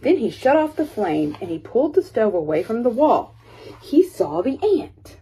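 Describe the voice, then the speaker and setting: Animated, higher-voiced woman reading a storybook. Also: moderate pace, husky, poor audio. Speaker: storyteller reading a children's picture book. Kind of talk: expressive read-aloud story narration.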